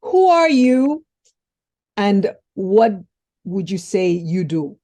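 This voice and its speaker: A woman's voice: mid-range voice, very animated, takes it slowly, mellow. A woman hosting a podcast, chatting enthusiastically with her guest and often restarting her sentences. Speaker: podcast host. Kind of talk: interview podcast chat.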